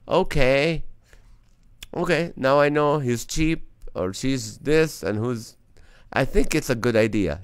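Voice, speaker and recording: Chatty comedian man with a smooth voice. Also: medium pitch, dry recording. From Middle East. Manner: chatty, laughs between jokes. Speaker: comedian host. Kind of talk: casual live-stream comedy chat.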